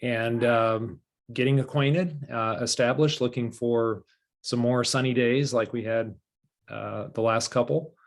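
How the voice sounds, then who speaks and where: A man, the new director, casually introducing himself with a friendly, relaxed manner and lots of fillers, over a video call. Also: medium pitch, measured pace, creaky. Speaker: new director of board services.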